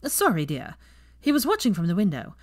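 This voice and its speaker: A woman's voice: mid-range voice, wildly swooping pitch, brisk, a little mellow. Woman acting a stern, snappy elderly matriarch. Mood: fussing, welcoming. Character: stern elderly woman, bossy yet doting grandmotherly matriarch.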